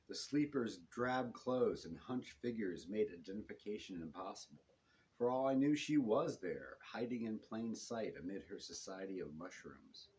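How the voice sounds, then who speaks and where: A man with a fairly low voice reads first-person detective fiction aloud. He moves through it in measured, short phrases that drop at the ends. It is a live bookshop recording with some noise behind him. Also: a little mellow, low-quality recording. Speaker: author reading own novel.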